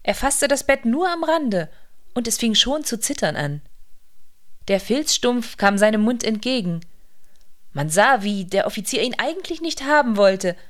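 Female narrator for a literary radio play, medium pitch, scripted storytelling.

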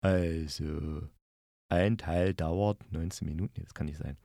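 A man's voice: very deep voice, steady tone, a little slow, creaky, warm tone, dry recording, clean studio sound. A man hosting a casual spoken review, chatting informally and thinking aloud as he goes. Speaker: podcast host reviewing audio dramas.

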